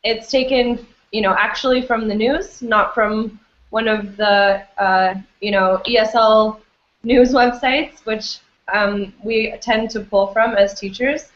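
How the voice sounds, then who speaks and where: A woman's voice: on the high side, steady tone, takes it slowly. A woman teaching an English class online, friendly and full of ums and you-knows. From United States. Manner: friendly, many fillers like um and you know. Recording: echoey, dull lo-fi audio.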